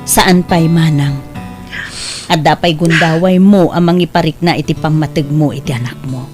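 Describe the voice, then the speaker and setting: A woman playing a warm, counselling younger sister and mother in an audio drama, coaxing and expressive, with an Ilocano accent. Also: low voice, moderate pace, music in the background, natural voice. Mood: earnest, advising.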